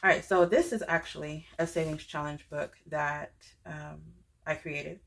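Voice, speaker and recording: A woman talks casually about her budgeting products. She rambles, restarts often and leans on fillers. Her voice sits low, with a gravelly fry. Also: steady tone, measured pace, background noise. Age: 30s. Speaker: budgeting channel creator. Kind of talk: casual chat showing products.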